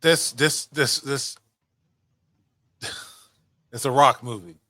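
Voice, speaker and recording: A man's voice: mid-range voice, fairly even intonation, takes it slowly, bright, forceful tone, no room sound, clean studio sound. A man co-hosting a casual podcast, swearing easily and repeating words as he reacts and gives opinions. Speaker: podcast co-host. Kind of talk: casual chat about video game movies.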